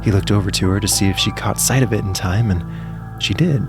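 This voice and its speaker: A man narrating a scripted story in a measured, suspenseful storytelling manner. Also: fairly low pitch, music in the background.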